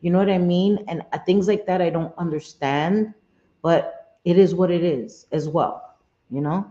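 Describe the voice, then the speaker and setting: A woman with a husky smoker's voice hosting a live stream, talking bluntly and earnestly, often repeating herself, with some salty language. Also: fairly low pitch, measured pace, mellow. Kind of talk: candid livestream chat, reading chat comments. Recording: muffled roomy home stream audio.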